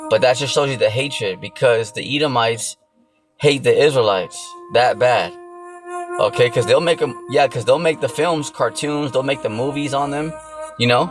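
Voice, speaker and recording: A man's voice: medium pitch, moderate pace. A man giving an informal sermon, repeating himself and often checking in with the listener. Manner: repetitive, filler-heavy, keeps checking in with "okay" and "you know". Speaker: religious teacher. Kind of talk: informal preaching. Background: music.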